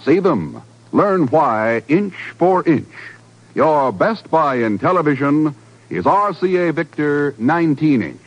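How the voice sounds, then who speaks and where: A man's voice: a little high, very animated, slow pace. A man with an American accent, a polished, emphatic 1950s radio announcer reading scripted copy. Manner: emphatic, polished sales delivery. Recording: muffled 1950s radio broadcast.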